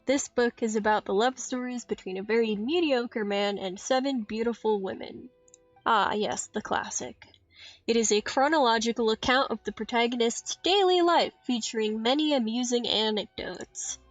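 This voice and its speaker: A woman's voice: fairly high pitch, moderate pace, no echo. A woman game streamer reading story dialogue aloud on a livestream, recorded dull and dry at home. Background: faint game music.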